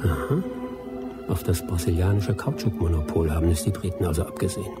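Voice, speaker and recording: Low-voiced, wry expatriate cynic. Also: male voice, lively intonation, a little fast. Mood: sardonic. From Britain (the figure). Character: wry, cynical British expatriate man in colonial Brazil. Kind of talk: German radio drama acting. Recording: loud music behind the voice.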